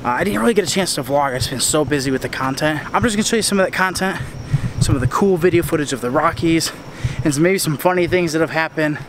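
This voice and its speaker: A man's voice: on the high side, fast talker. A young American man talking casually and earnestly to camera as he walks, recorded on a noisy street outdoors. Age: young adult. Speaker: tour videographer and vlogger. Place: walking on a town street at night. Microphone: camera at arm's length.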